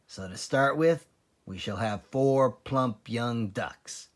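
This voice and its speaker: A man's voice: mid-range voice, slow pace, lightly acted. A man voicing a cunning, bossy, food-loving father fox in a children's storybook, with a relishing, exclamatory manner. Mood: relishing, sly.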